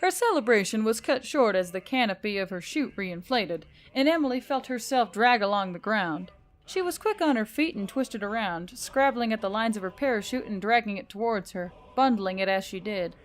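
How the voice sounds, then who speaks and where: A woman's voice: mid-range voice, animated, moderate pace. A woman reading scripted fiction as a storyteller, in a dramatic narrating style.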